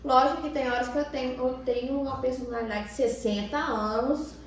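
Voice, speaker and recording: A woman's voice: sits high, steady tone, a little fast, a little hiss or hum. A middle-aged Brazilian woman co-hosting a podcast in casual, playfully teasing chat. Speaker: podcast co-host. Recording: echoey room, distant microphone.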